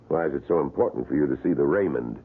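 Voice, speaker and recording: An actor playing a polite, evasive tour guide at a wax museum of crimes. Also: male voice, very deep voice, slightly rough, exaggerated voice. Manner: showman patter, polite. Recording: tinny 1970s radio broadcast.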